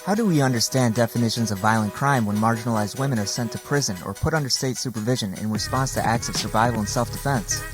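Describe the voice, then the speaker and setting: A man co-hosts a radio program, reading prepared questions and narration quickly. His voice sits at a medium pitch. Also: over music. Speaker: radio show co-host and interviewer.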